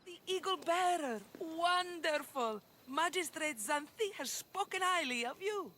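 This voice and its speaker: Game role: gushing, long-suffering ancient Greek mother, high swooping voice, soft music behind. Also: lively intonation, a little slow, slight background noise, exaggerated voice. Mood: delighted. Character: effusive, exasperated Spartan-era mother seeking help. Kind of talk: scripted video game cutscene acting.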